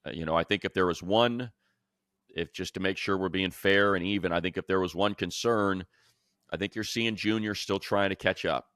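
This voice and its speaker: A man with a deep voice and an American accent talks sports in a very dry, close recording. He is emphatic and colloquial, speaking off the cuff like a seasoned co-host. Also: moderate pace, fairly bright tone, no room sound. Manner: emphatic, colloquial, acts out imagined dialogue. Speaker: sports podcast co-host and play-by-play announcer. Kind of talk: unscripted sports analysis.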